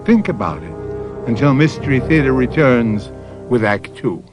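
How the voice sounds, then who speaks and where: A man hosting and narrating a radio mystery drama in a theatrical, deliberate way, addressing the listener directly. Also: mid-range voice, big pitch swings. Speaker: host and narrator. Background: music.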